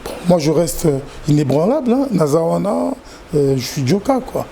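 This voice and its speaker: A man from Congo with a Congolese French accent, chatting as a guest in an interview, speaking in a halting, measured way with repeated words. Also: a little high, big pitch swings, gravelly, warm tone, slight background noise. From Democratic Republic of the Congo. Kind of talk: casual interview chat.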